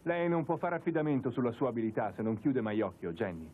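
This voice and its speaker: Husky, earnest young man. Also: mid-range voice, very animated, fast. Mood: worried. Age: young adult. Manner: earnest, concerned. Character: earnest, clumsy young man, worried and joking. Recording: slightly thin, narrow dubbed audio.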